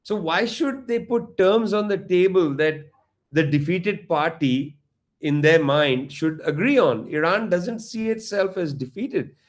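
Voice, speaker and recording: A man with a notably high voice gives pointed political analysis in an interview. He speaks fluently and with firm emphasis, often doubling his first words before pressing on. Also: measured pace. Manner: fluent, emphatic, frequent repeated starts. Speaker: political commentator.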